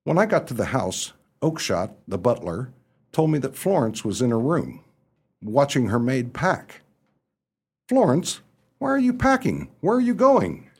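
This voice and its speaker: A man playing a genial, dithering young upper-class gentleman in a radio play, who narrates and stammers when pressed. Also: mid-range voice, huge pitch swings, unhurried, breathy edge, full character voice. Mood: anxious, pleading. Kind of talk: radio play acting with first-person narration.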